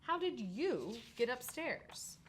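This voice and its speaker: A woman's voice: on the high side, sing-song, takes it slowly, slight background noise. Woman reading as puzzled, exclaiming night watchman. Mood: kindly surprised. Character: brisk male night watchman, puzzled but kindly.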